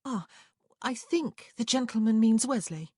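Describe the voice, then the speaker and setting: A woman voicing a polite, tactful young lady traveller in an audiobook, genteel, sweet and soft-spoken. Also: fairly high pitch, expressive, unhurried, no echo, clean studio sound, pitched above the performer's own voice. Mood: uncertain. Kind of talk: acted audiobook dialogue.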